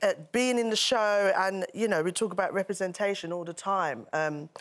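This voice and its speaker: Woman co-host, chatty, excited, mid pitch. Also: moderate pace. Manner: chatty, enthusiastic, laughs a lot. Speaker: talk show co-host. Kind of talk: casual chat. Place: TV studio.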